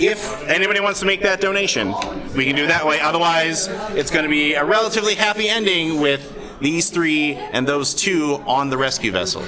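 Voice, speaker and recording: A man acting as game master, narrating and making rulings in a halting way at a live show. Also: on the high side. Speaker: game master of a tabletop RPG. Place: live event hall. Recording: live convention stage with loud crowd. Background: loud crowd.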